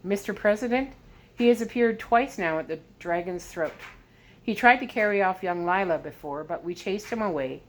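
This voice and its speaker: A woman's voice: mid-range voice, animated, normal speed, lightly acted, poor audio. A woman voicing a greedy, sly, burly male hireling in a storybook, oily and mercenary. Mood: explaining. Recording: clear background noise.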